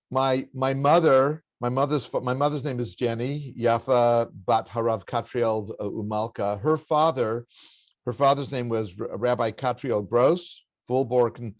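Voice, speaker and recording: An older man with a baritone voice, a guest in an interview, telling family stories in a reflective, anecdotal way with occasional chuckles. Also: fairly even intonation, unhurried, dry recording. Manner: warm anecdotal storytelling with chuckles. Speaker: interview guest, spiritual teacher.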